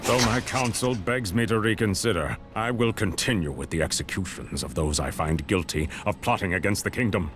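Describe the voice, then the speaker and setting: A tyrannical mad king played by a man speaks in a deep, cold voice. His monologue is imperious and suspicious, a dramatic game performance over prominent music. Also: normal speed, few pauses, natural voice. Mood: cold, paranoid. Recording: music behind voice.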